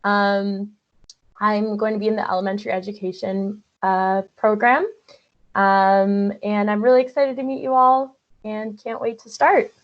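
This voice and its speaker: A woman's voice: mid-range voice, fairly even intonation, measured pace. A woman student introduces herself on a video call with many hesitant fillers. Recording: muffled video call audio.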